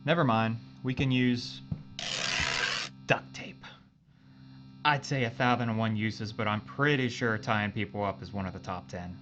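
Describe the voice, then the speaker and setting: An actor playing a loyal, joking spaceship engineer in a science-fiction audio drama, with a casual, folksy manner. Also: male voice, lowish voice, unhurried, long pauses, fairly bright tone. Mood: wisecracking. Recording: dull lo-fi sound, faint music.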